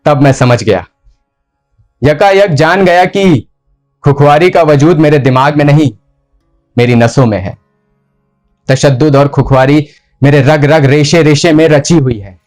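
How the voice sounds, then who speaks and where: A male actor playing a ruthless, tormented medieval sultan in a slow, deliberate theatrical monologue with heavy pauses. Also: on the high side, a little mellow, soft background music, natural voice. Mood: grim realisation. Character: brooding, ruthless, tormented medieval sultan confessing his murders. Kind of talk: dramatic theatrical Hindustani monologue.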